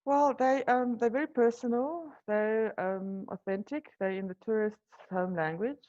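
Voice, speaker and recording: A woman's voice: fairly high pitch, unhurried, darkish tone, muffled recording. A woman from South Africa whose first language is Afrikaans, answering interview questions hesitantly with many fillers and restarts. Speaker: wine tour guide and business owner.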